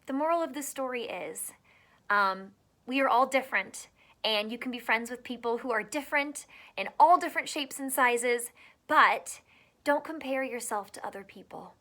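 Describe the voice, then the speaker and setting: A woman with a slightly high voice reads a picture book aloud as a teacher would for children. Her delivery is expressive, with dramatic pauses and emphasis. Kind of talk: storybook read-aloud narration for children.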